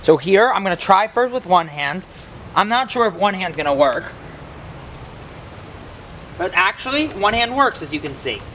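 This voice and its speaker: Young man, higher-pitched, explaining for children. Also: big pitch swings, moderate pace, pauses often, fairly bright tone, slight background noise. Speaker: read-aloud host and science demonstrator. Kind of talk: children's read-aloud and hands-on explanation.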